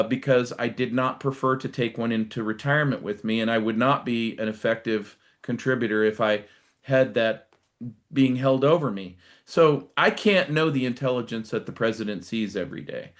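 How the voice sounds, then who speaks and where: A middle-aged or older American man speaking as a guest commentator, explaining things conversationally in a measured way with emphatic stresses. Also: mid-range voice, fairly bright tone. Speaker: guest commentator, retired military. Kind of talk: live political commentary, conversational.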